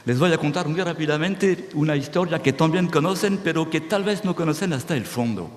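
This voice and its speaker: A man's voice: fairly high pitch, fairly quick. Man speaking to an audience in a hall. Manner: animated, restarts words, checks with "¿sí?". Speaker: speaker at a conference. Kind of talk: lecture-style talk to an audience. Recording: reverberant hall, faint noise.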